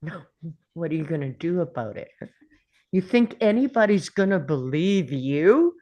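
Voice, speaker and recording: A woman playing a bullying, sarcastic male school principal in a script read, taunting with drawn-out contempt. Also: on the low side, big pitch swings, warm tone. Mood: goading, contemptuous. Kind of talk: table read of a film script.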